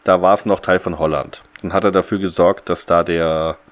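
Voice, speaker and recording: A man's voice: low-pitched, steady tone, normal speed. A man co-hosting a podcast, chatting casually and bluntly about an audio drama in informal language. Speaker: podcast co-host.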